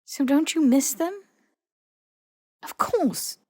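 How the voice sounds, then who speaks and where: A woman's voice: high-pitched, animated, slow pace, dark tone, no echo, studio quality, voice pushed up. A woman playing a guilt-ridden, defensive young male wizard hero in a story reading.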